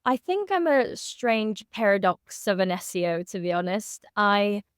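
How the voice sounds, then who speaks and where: A woman's voice: medium pitch, sing-song, a little slow, clear, resonant tone, dry recording. Young woman explaining things candidly, dry close sound. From born in Moscow, grew up in Singapore. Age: 20s. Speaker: SEO consultant and interview guest. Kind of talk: conversational expert interview.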